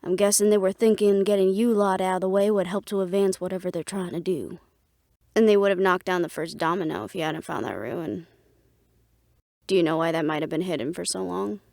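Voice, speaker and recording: A woman acting a guarded, businesslike plotter in an audio roleplay drama, speaking in a measured, serious way. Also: on the low side, vocal fry, darkish tone, dry recording, clean studio sound.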